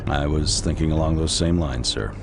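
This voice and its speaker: An actor playing an earnest, dutiful military intelligence officer in a video game, speaking formally and deliberately over music. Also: male voice, extremely low pitch, creaky, noisy background. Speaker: briefing officer. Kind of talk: video game cutscene acting. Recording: loud music behind the voice.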